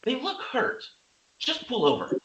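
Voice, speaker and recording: A man acting as a mild, apologetic husband who turns concerned. His pitch swings very widely, and the sound is dull with voices behind. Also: huge pitch swings, measured pace, crowd noise, full character voice. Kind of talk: script table-read acting.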